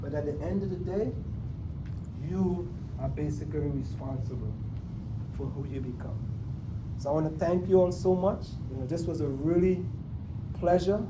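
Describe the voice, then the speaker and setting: A man's voice: on the high side, moderate pace, pauses often, background noise. A man in his sixties from Trinidad and Tobago gives a motivational talk to a sales team and keeps checking in with "right?" after his points. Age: 60s. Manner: plays with invented long words. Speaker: motivational speaker. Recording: distant mic in an echoey room.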